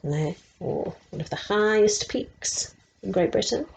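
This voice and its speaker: A woman's voice: medium pitch, lively intonation, unhurried, creaky, darkish tone, slight background noise. A woman storyteller reading a picture book aloud to children, adding explanatory asides and putting questions to her young listeners. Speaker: resident story teller.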